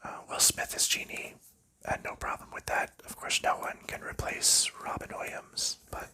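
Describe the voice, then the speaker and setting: A man chatting softly in a whisper for an ASMR video, rambling and chuckling lightly now and then. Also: moderate pace. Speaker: ASMR creator.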